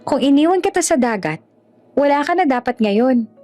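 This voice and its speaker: A woman's voice: sits high, animated, moderate pace, warm tone, soft background music, pitched above the performer's own voice. A woman voicing a feisty, blunt and emotional young provincial woman in a romance audio drama. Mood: firm, emotional. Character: feisty, plain-spoken young provincial woman, anxious but brave.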